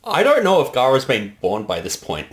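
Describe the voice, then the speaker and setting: A man speaking casually as a director in behind-the-scenes commentary, explaining things in a halting, reflective way. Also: mid-range voice, wildly swooping pitch, a little hiss or hum. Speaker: director giving creator commentary.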